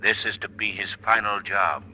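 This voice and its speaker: A man acting a mysterious, menacing crime fighter in an old radio drama, calm, taunting and commanding. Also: fairly low pitch, moderate pace. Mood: taunting, ominous. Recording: noisy old radio recording.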